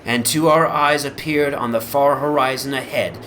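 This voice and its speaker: A man's voice: mid-range voice, steady tone, moderate pace, fairly bright tone, a little hiss or hum. A man narrating a horror fantasy story in a solemn, theatrical dramatic reading. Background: prominent music soundscape.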